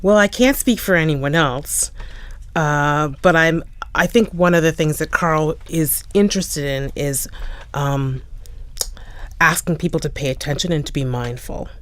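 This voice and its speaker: Reflective woman, halting delivery. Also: low voice, no room sound. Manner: halting, reflective, searching for words. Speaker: playwright, interview guest. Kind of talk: casual panel discussion.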